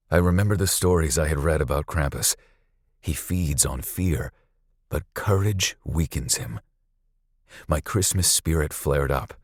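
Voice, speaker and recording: A man narrating a scripted story dramatically, with a suspenseful, deliberate delivery. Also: a bass voice, dry recording, clean studio sound.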